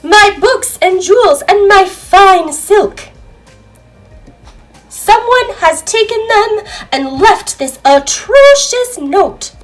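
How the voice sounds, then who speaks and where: A woman's voice: very high voice, animated, slow, soft background music, voice pushed up, full character voice, low-quality recording. A woman voicing a distraught, dramatic storybook queen in a plaintive, wailing manner.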